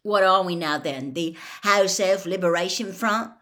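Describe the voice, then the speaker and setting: A woman's voice: on the low side, a little slow, a bit bright. A woman voicing a casual teenage schoolboy, joking and mocking in an offhand, punchy way in a storybook reading.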